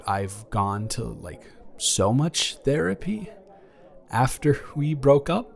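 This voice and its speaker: A young man playing a caring, awkward ex-boyfriend in an improvised romantic audio roleplay, speaking in a halting, earnest, gently reassuring way. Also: on the low side. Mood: reflective, earnest. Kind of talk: improvised romantic audio roleplay, intimate one-sided conversation. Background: background music.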